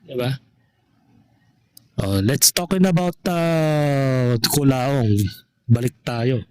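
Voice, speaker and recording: A man speaks as a vlogger commenting on gossip for his followers. His pitch sits in the middle and his pace is slow. He moves haltingly, circling back over points and checking in with short rhetorical questions. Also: dark tone, smooth, no room sound.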